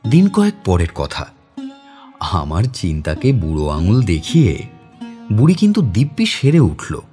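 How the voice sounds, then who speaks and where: A mild, educated man around forty telling a literary story from memory. His voice is dark and relaxed on the low side, yet it moves expressively as he reflects. Soft music plays behind him. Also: a little slow. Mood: reflective. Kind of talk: dramatised literary story narration.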